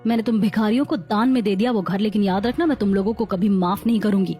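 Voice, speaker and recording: A woman playing a proud, protective elder sister-in-law who scolds emphatically in a melodramatic animated family story. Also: medium pitch, fast, faint music behind, natural voice. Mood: scornful.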